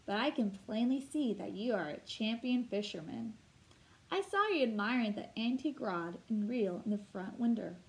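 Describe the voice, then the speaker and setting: A woman's voice: fairly high pitch, animated, normal speed, low-quality recording. A woman reading a storybook aloud as a kindly, folksy old male shopkeeper with a coaxing way of talking.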